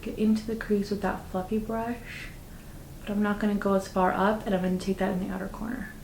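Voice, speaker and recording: A chatty woman talks through her makeup routine with a slightly raspy, just-woken-up voice. She sounds upbeat and speaks casually, full of fillers. The room echoes noticeably, with a faint ambient hum behind her. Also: medium pitch, flat delivery, fairly quick, darkish tone. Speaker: beauty vlogger. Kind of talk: casual makeup tutorial talk.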